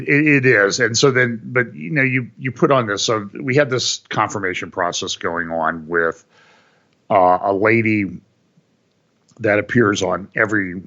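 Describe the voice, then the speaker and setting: A deep-voiced man talking about the news on a call, wry and exasperated, in long runs. His tone is low but with a bright, forceful edge. The call audio is a little dull. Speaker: guest commentator. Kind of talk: casual political chat. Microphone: phone or call line.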